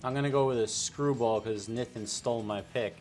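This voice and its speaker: A man's voice: mid-range voice, brisk, darkish tone, soft background music. A man hosting a panel show, talking sports in a casual debate style.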